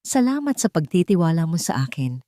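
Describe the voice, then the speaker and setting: A woman with a Filipino accent voicing a humble, soft-spoken young woman in love in an audio storybook. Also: lowish voice, very animated, a little fast, dry recording, studio quality. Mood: grateful, gentle.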